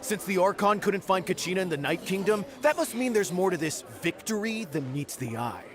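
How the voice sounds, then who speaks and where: A man's voice: high voice, animated, fairly quick, a crowd in the background. A man playing a snide, doubting warrior bystander in a video game, pointed in his delivery. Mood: suspicious. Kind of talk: English video game voice acting.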